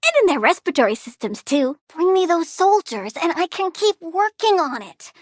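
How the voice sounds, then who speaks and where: A young girl villainess from a video game, very high in pitch and animated. She is eager and morbid, with a petulant, sassy edge. The recording is close and dry. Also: normal speed, dry recording. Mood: gleeful, eager. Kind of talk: video game character voice acting.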